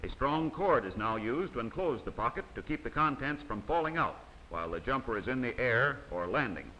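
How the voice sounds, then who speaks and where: Man for a formal instructional film voice-over, bright, sharp tone, faint hiss underneath. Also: mid-range voice, animated, fairly quick, muffled recording. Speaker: narrator of a training film. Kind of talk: scripted documentary narration. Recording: faint hiss of old 16mm optical soundtrack.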